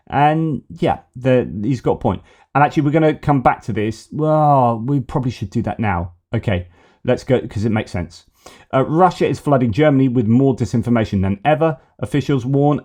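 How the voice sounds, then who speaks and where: A man's voice: medium pitch, a little mellow. A man hosting a news commentary show, reading articles aloud in a conversational way, breaking off for asides and correcting himself mid-sentence. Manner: conversational, reads quotes with asides and self-corrections.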